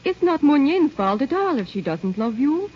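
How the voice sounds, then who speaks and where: A woman acting a protective, indignant sister of the bride in an old-time radio drama, expressive and reproachful. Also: high voice, normal speed. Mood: indignant, uneasy. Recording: muffled old radio recording.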